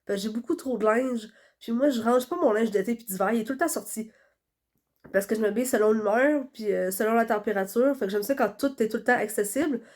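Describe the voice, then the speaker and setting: A woman's voice: on the high side, fast talker, a little mellow. A young woman with a Quebec French accent, chatting casually to her phone camera while stuffed up from a cold, with lots of fillers. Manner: chatty, rambling, many fillers. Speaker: vlogger.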